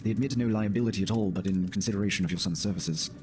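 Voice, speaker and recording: A man as a low-voiced, stiff company messenger. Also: very fast, warm tone, faint music behind, natural voice. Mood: formal, uneasy. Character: formal, uneasy male company messenger bearing bad news. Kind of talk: dramatic horror story reading.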